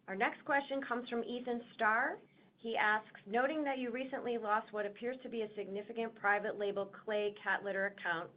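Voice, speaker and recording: A woman moderator on an earnings call, reading investor questions aloud. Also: mid-range voice. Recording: muffled phone line, faint noise.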